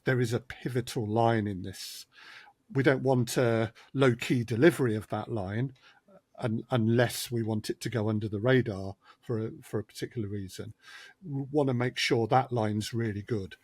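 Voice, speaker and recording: Guy on a podcast, kinda husky, dry close sound, talks it through carefully with lots of restarts. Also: mid-range voice, fairly even intonation, moderate pace, darkish tone, no echo. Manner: thoughtful, explains step by step with many restarts. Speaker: guest, audio drama producer. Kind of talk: casual podcast conversation.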